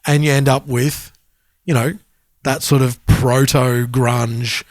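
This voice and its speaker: A man's voice: mid-range voice, level tone, slow pace, no echo. A man with a mid-range voice speaks casually as a podcast co-host. He works through his thoughts aloud in a conversational way, and the recording is close and dry. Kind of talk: casual music podcast chat.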